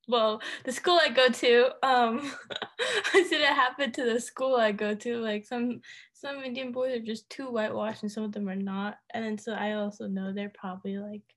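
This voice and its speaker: Teen girl co-host, casual and rambling, high-ish voice, filler-heavy. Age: teens. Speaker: podcast co-host. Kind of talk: casual chat.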